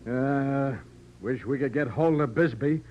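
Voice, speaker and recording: An actor playing a gruff, skeptical, blustery police sergeant in a 1940s radio detective drama. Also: male voice, medium pitch, unhurried, lightly acted. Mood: businesslike. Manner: blustery, colloquial, exclaiming. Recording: dull lo-fi old radio recording.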